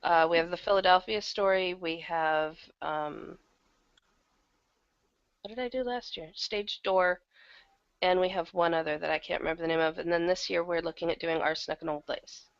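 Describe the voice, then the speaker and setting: A woman's voice: on the low side, fairly even intonation, normal speed, clean tone, no echo, lo-fi sound. A woman chatting casually as an interview guest, talking in a loose, conversational way and sometimes correcting herself. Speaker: interview guest, audio drama producer and audiobook narrator.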